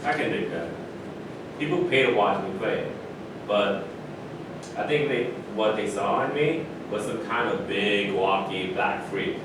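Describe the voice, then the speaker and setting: A man's voice: measured pace, close to an everyday voice. Man acting cocky sports star in echoey room. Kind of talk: staged reading of a play. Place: echoey room at a live staged reading. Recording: very echoey room, distant mic, loud rumbly noise.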